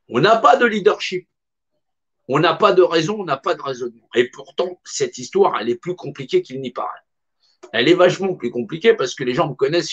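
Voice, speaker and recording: A middle-aged man giving an impassioned, emphatic monologue in a colloquial, repetitive way. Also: on the high side. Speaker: speaker. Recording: dull lo-fi recording.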